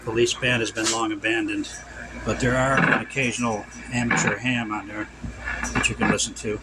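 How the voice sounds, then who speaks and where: A man's voice: fairly low pitch, little pitch movement, normal speed. A man giving an unscripted, instructional hands-on demonstration of vintage radio work, repeating his cautions as he goes. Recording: loud hum and background noise.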